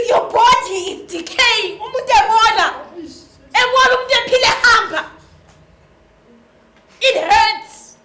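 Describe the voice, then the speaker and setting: Woman acting shrill, bitter Zimbabwean village wife. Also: sharp tone, exaggerated voice. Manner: declamatory, emotional. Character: bitter, wronged village wife, sick and furious. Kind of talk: theatrical stage acting. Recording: roomy echoing stage recording.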